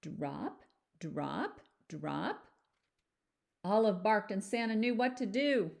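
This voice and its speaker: A woman reading a picture book aloud like a teacher, animated, with chatty asides and questions to young listeners. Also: mid-range voice, slow pace, vocal fry. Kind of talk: children's storybook read-aloud with chatty asides.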